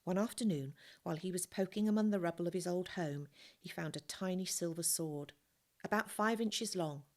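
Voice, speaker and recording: A woman teacher reading a children's novel aloud, calm and measured. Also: fairly low pitch. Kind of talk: storybook reading.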